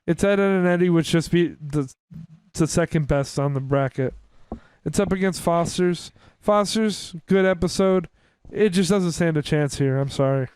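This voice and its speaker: A man's voice: on the high side, normal speed, a little mellow, smooth, no echo. A man chatting casually as a podcast co-host, steering the discussion decisively. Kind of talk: casual chat.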